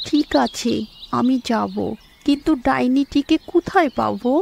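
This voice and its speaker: A woman's voice: high voice, measured pace, vocal fry, a little mellow, a little hiss or hum, exaggerated voice. A woman voicing a brave young village girl in a cartoon, earnest and urgent. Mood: worried.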